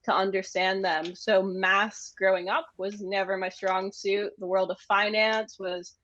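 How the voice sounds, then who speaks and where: A woman's voice: medium pitch, flat delivery, measured pace, fairly bright tone, highs cut off. A woman from the United States with an American accent, answering conversationally as an interview guest. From United States (Maine). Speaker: interview guest, agency managing director.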